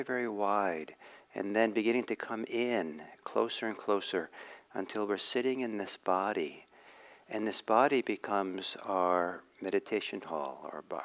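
A man leading a guided meditation as a teacher, speaking in a measured, reflective way with frequent pauses. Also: sits low.

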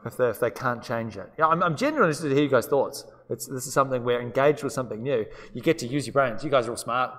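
A man's voice: mid-range voice, fairly bright tone. A man with a New Zealand accent teaching a live-streamed law seminar, chatty and casual, putting questions to his students. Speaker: law lecturer. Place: lecture room with long reverb. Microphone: distant room microphone. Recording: reverberant lecture hall sound.

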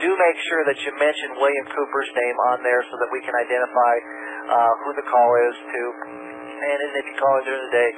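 A man speaking as a call-in dealer on talk radio, earnest and halting, often repeating words as he makes his case. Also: medium pitch, steady tone. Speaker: call-in precious metals dealer. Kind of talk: radio phone-in talk, sales pitch. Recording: noisy 1990s radio broadcast recording.

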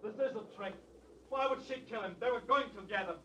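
An actor playing a desperate, distrustful young man in old radio drama style, speaking in halting, terse phrases. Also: sits high, bright, forceful tone, highs cut off. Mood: suspicious. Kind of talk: 1940s-50s radio drama acting. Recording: noisy old radio recording.

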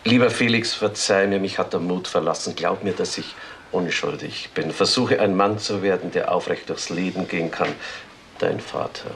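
An actor playing a subdued, hesitant prison official in a German crime radio drama. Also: male voice, low-pitched, normal speed, a bit bright, a little hiss or hum. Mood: solemn.

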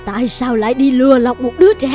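A woman voicing a poor, anxious, protective mother in an animated moral tale, with a pleading, wounded delivery. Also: high voice, fast, soft background music, close to an everyday voice. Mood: suspicious, upset.

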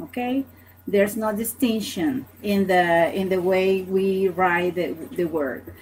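A middle-aged woman from Venezuela with a Venezuelan Spanish accent, a native Spanish speaker teaching an online language lesson in a halting, hesitant way. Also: fairly high pitch, rough recording. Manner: halting, hesitant, repeats words. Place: small home office, indoors. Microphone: computer webcam at desk distance.

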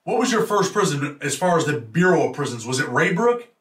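A man's voice: fairly high pitch, very animated, a little fast, a bit bright. A middle-aged man hosting an interview show in a casual, direct way. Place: indoor room with banners. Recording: roomy, slightly distant mic.

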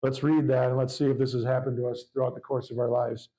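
Loud preaching man, mellow voice. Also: mid-range voice, flat delivery, fast, low-quality recording. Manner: fervent preaching, reading scripture aloud. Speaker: pastor. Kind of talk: sermon.

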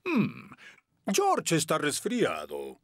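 A man's voice: fairly high pitch, huge pitch swings, very slow, no room sound, clean studio sound, heavily acted. A man voicing a friendly bear doctor in a children's cartoon.

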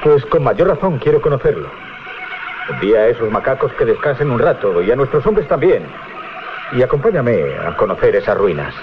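An actor playing a bold, curious sea captain in a radio drama, authoritative and used to giving orders. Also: male voice, mid-range voice, very animated, brisk, lightly acted. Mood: curious, determined. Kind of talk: 1980s Spanish radio drama acting. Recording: dull, slightly noisy 1980s radio recording.

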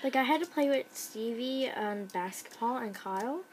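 A young woman chats offhandedly from off camera, teasing her friend. Her voice sits fairly high. Faint room noise hums in the background. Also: lively intonation, moderate pace. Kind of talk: casual chat.

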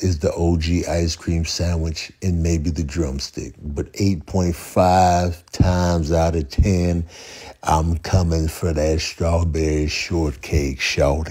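Low, mellow man on a comic rant. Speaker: comedian commentator. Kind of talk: comedic rant commentary.

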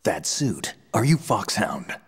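Seasoned soldier, video game: gruff, terse, slow delivery with lively inflection. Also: male voice, fairly low pitch, heavily acted. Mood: guarded, probing. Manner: terse, deliberate. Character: gruff, seasoned male soldier, guarded and cool.

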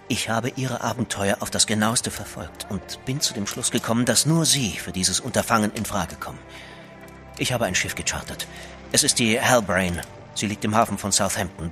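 An actor in a German audio drama playing a driven gentleman writer, dramatic and insistent, sometimes hushed. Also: on the low side, animated, moderate pace, soft background music. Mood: earnest, insistent. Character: intense, driven gentleman writer pleading for help.

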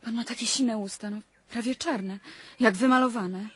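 Actress as capricious young lady, slightly high voice. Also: moderate pace, slight background noise, lightly acted. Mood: confiding. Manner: teasing, impulsive. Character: capricious, provocative young well-born woman, restless and teasing. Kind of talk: radio drama acting.

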